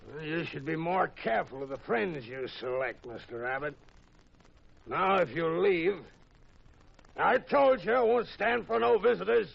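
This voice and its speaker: Casting: curt, commanding sea captain, high-set gravelly voice with big swings, vintage radio play. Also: male voice, animated, unhurried, exaggerated voice. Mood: cold, threatening. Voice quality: gravelly, husky. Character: gruff, menacing ship's captain guarding his vessel. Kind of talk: 1940s-50s radio drama acting. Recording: muffled old radio recording.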